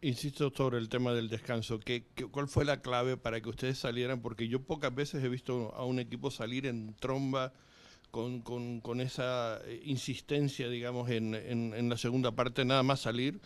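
A man's voice: mid-range voice, level tone, moderate pace. A man, a reporter asking a long, hesitant question with repeated words.

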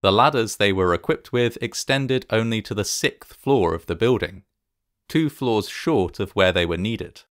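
A man reading scripted documentary narration in a measured, solemn and formal manner. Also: on the low side, fairly bright tone, no echo, clean studio sound.

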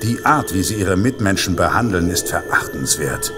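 Low, menacing Santa Claus avenger. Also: male voice, animated, over music. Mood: righteous menace. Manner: menacing, deliberate, self-righteous. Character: vengeful male vigilante killer dressed as Santa Claus. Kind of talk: German horror audio drama acting.

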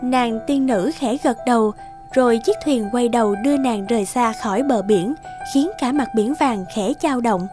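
A woman narrating a scripted children's fairy tale with expressive storytelling. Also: fairly high pitch, normal speed, over music.

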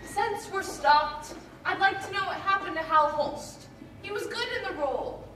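An actress playing a spoiled, bratty young actress who whines and snips at people. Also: female voice, very high-pitched, measured pace, bright, forceful tone, exaggerated voice. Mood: snippy. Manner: whiny, spoiled, snippy. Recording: noisy echoey stage from far off.

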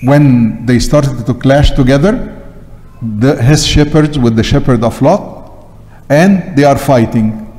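In a long church reverb, an older man preaches with an Egyptian Arabic accent. His voice is dark and mellow at a middle pitch. He leans on short phrases and repeats them for emphasis. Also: slow. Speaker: Coptic Orthodox priest. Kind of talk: sermon. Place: large church interior, at the pulpit. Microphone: gooseneck pulpit microphone.

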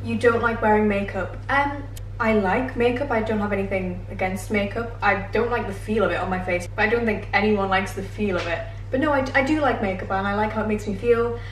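A British woman in her twenties talks casually to camera with a middling pitch and a self-deprecating, conversational manner. She sounds a little away from the microphone in an echoey room, with faint noise behind her. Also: fairly quick. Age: 20s. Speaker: vlogger answering viewer assumptions while baking. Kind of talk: casual chatty vlog to camera. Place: home kitchen, indoors. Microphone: camera mic at a short distance. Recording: roomy, distant mic, faint background noise.

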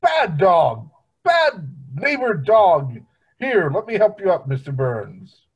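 A man voicing a dim, childish middle-aged cartoon husband with a husky voice, in an amateur table read. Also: sits high, very animated, slow, dull audio, exaggerated voice. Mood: flustered. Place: home room, on a video call.

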